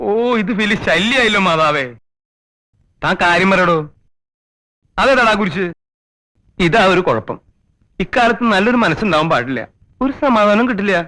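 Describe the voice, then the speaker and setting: A man's voice: high voice, sing-song, moderate pace, pauses often, pushed and bright, full character voice, poor audio. An actor playing an exasperated, long-suffering man in a comedy film, complaining and exclaiming. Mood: exasperated grumbling. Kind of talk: Malayalam comedy film acting.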